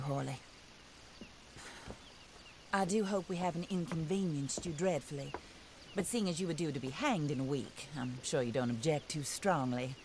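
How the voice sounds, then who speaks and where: Genteel, determined western widow, low voice. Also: female voice, a little hiss or hum. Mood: gracious. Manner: formal, composed, politely wry. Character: genteel, determined widow seeking vengeance, wealthy employer. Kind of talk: dramatic video game acting.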